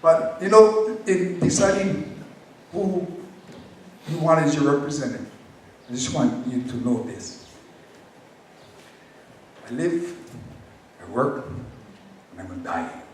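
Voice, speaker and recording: In a heavily reverberant hall, a middle-aged man speaks some way from the mic. His voice sits a little high as he delivers an earnest campaign speech, halting often for effect. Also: lively intonation. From Hawaii. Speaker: county council candidate. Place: high school hall public forum. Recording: echoey hall, distant mic, faint noise.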